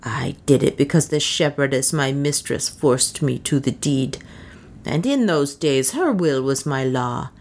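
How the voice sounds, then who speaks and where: A woman's voice: medium pitch, darkish tone, voice pushed up, exaggerated voice. A woman voicing a sardonic, resentful native serving woman in an audiobook, with an accusing manner. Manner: satirical, glaring, accusing. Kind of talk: audiobook character acting.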